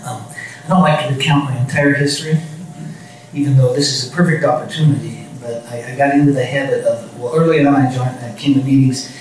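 Middle-aged man, low voice, halting and soft-spoken personal share, dry self-deprecating asides; distant handheld mic in a very echoey meeting room. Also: fairly even intonation, soft background music. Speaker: speaker at a recovery meeting. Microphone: handheld microphone.

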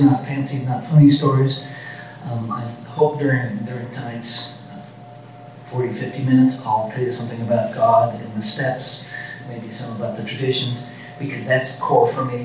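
Middle-aged man, low voice, halting and soft-spoken personal share, dry self-deprecating asides; distant handheld mic in a very echoey meeting room. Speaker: speaker at a recovery meeting. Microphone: handheld microphone.

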